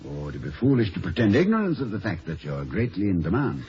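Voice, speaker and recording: Halting, gallant radio professor, muffled. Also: male voice, low voice, huge pitch swings, husky, full character voice. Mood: courteous. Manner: hesitant, halting, gently stammering. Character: gallant, flustered middle-aged male college professor, tenderly romantic. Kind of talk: 1950s radio comedy-drama acting. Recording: muffled old 1950s radio recording.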